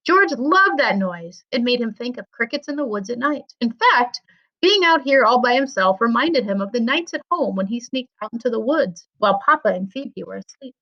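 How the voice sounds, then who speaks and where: A woman, a school librarian, reading a children's story aloud with expressive storytelling. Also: fairly high pitch, normal speed, muffled recording.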